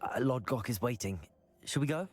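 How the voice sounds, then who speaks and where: Curious young student, lively intonation. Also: male voice, mid-range voice, normal speed, breathy edge. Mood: friendly. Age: young adult. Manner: polite, inquisitive. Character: composed, curious, helpful teenage student adventurer. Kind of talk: video game acting.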